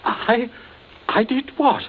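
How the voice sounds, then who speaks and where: An actor playing a frightened sea-captain type who stammers and protests in a vintage radio suspense play. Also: male voice, extremely high pitch, fairly even intonation, takes it slowly, full character voice. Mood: flustered. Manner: stammering, pleading, indignant. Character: frightened, protesting male captain, victim of blackmail. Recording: muffled, hissy old radio broadcast.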